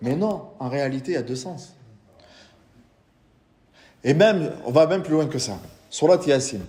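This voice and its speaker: A man's voice: fairly high pitch, normal speed, mellow. A man, an imam, giving a religious lecture to a live audience, explaining patiently and asking the room questions. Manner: explains patiently, asks the audience questions, recites Quranic Arabic. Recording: reverberant hall.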